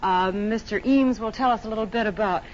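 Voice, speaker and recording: A woman's voice: medium pitch, a little fast. A woman with an American accent speaking as a poised, articulate, formal television host in a polished interview style. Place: television studio. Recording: muffled, noisy 1950s television broadcast.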